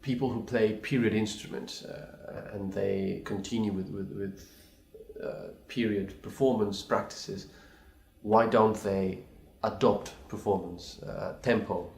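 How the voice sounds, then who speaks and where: A man's voice: on the low side, steady tone, slow, gravelly. A man, a pianist speaking English as a second language, giving a thoughtful, hesitant reply full of restarts and apologies. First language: not English. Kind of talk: recorded reply to a video challenge. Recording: echoey room.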